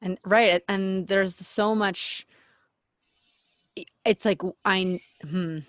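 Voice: medium pitch, steady tone, extremely slow, fairly bright tone, no echo, studio quality. A host talking reflectively in a casual conversation, searching for words.

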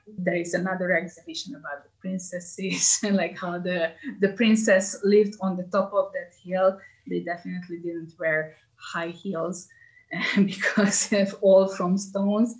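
A woman with a Hungarian accent talks as a tour guide in an interview. Her voice sits a little low and stays flat in melody. She chats along easily, filling gaps with many hesitations. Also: measured pace, poor audio. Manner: many hesitation fillers, chatty. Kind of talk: interview answers, casual.

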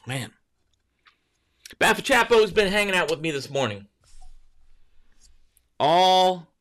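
A man's voice: fairly high pitch, bright, forceful tone. A man hosting a casual morning livestream, greeting viewers by name and rambling loosely while correcting himself. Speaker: livestream host.

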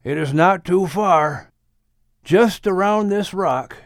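A man voicing a very old, patient great-grandfather who speaks slowly and solemnly in an audiobook story. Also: high voice, no echo, clean studio sound, pitched above the performer's own voice. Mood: gentle. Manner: slow, deliberate, solemn.

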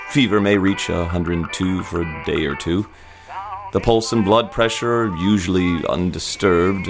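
Low-voiced man giving animated clinical narration. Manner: clinical, formal, measured wording. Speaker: medical narrator. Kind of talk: formal clinical scripted narration. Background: music.

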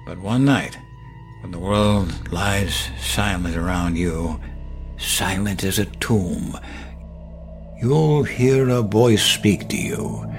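An actor playing a gentle yet sinister old grandfather in a horror audio drama, talking in a deliberate, folksy, halting way. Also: low-pitched, music in the background. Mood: eerie, hushed. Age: older.

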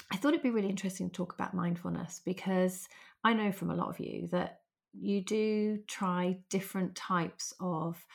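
Croaky-voiced woman hosting a podcast. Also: on the low side. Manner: chatty, lively, laughs easily. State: hoarse, recovering from lost voice. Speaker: podcast host. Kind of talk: casual podcast interview.

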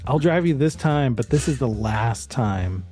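Guy doing a wounded chauffeur, formal and reluctant, mid pitch, music underneath. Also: expressive, measured pace, warm tone, close to an everyday voice. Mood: earnest, reluctant.

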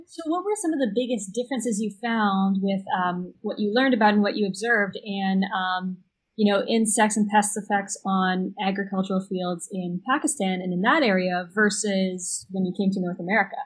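A woman's voice: medium pitch, normal speed, poor audio. A woman interviewer asking conversational questions in a tentative, rambling way.

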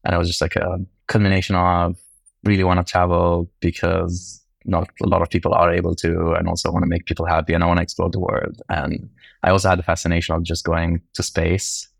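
A man's voice: sits low, monotone, brisk, creaky, dry recording. A man from Lebanon speaking casually as a podcast guest, telling things in a conversational way.

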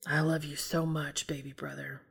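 A woman's voice: deep voice, level tone, normal speed, gravelly, husky, voice pushed down, exaggerated voice. A woman voicing a despairing, sick man, a loving older brother who speaks with weary, tender resignation in an audiobook-style story. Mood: weary, tender grief.

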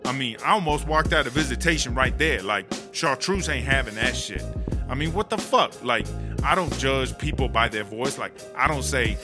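A brash woman inmate acted out by a man holds court with slangy, swaggering pride. The voice sits at an ordinary male pitch, with music playing behind it in a comic audio drama. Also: animated, normal speed, close to an everyday voice. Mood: swaggering storytelling. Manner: slangy, swaggering street storytelling. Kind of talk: comedic audio drama monologue.

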